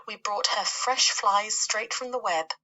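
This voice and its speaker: A woman's voice: medium pitch, measured pace, bright, forceful tone, rough recording. A woman playing a dramatic, doleful female spider from a children's storybook, gushing and lamenting. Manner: gushing, self-correcting, theatrical.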